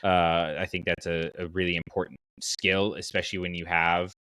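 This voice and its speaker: A man with a fairly low but bright, forward voice, recorded close and dry. He hosts a casual fan podcast and explains his thinking conversationally, wandering into asides. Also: little pitch movement, normal speed, clean tone, no room sound. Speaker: podcast host.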